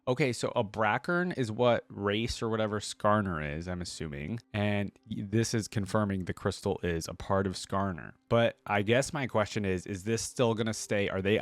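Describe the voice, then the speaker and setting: Male reaction host, lowish pitch, dry sound; reads aloud with loose, conversational asides. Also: no echo. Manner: conversational, thinks aloud with check-in questions. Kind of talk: casual reading aloud with off-the-cuff commentary.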